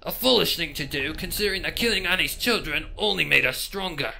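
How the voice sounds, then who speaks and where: Man voicing a raspy, higher-pitched pony exorcist. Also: expressive, brisk, bright, forceful tone, full character voice. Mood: ominous. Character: wary religious pony exorcist, stern and ominous.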